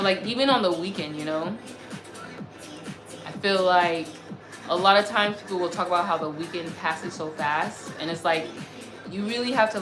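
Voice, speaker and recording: A woman of about thirty chatting casually on a livestream as its host, reading and answering comments in an earnest, effusive way, repeating herself as she goes. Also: on the low side. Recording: roomy echo, music behind the voice. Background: music.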